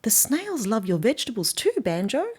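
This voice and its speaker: A woman with an Australian accent voicing a small, bossy and cheery female ladybird in a children's picture book, calling out in a drawn-out sing-song. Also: a little high, sing-song, darkish tone, husky.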